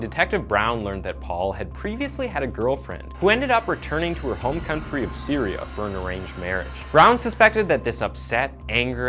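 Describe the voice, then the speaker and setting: A man's voice: mid-range voice, a bit bright. A man narrating a scripted true crime story as the host of the series.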